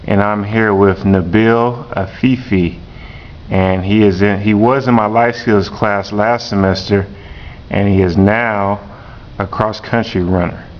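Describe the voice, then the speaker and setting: A man, a teacher, interviewing a student slowly and repeating each answer back. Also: fairly even intonation. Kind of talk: classroom interview. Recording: dull lo-fi recording with rumble.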